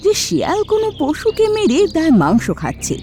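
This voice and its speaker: Lively woman narrating a cartoon. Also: very high voice, a little fast. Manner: expressive, storytelling. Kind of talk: scripted storybook narration. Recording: children's music behind.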